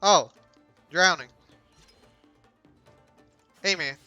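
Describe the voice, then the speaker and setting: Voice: mid-range voice, very slow, pushed and bright, highs cut off, soft background music. A game streamer giving casual live commentary, close on the mic.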